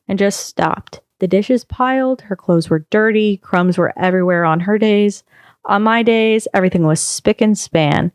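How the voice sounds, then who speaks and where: A woman reading a long first-person post aloud on a podcast, in a steady storytelling manner. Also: mid-range voice, dry recording, clean studio sound.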